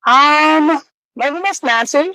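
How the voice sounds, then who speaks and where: A woman from Liberia, a business owner, talking as a podcast guest with short, soft replies and easy laughter. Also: high-pitched, slow pace, no room sound, poor audio. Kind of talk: casual interview chat.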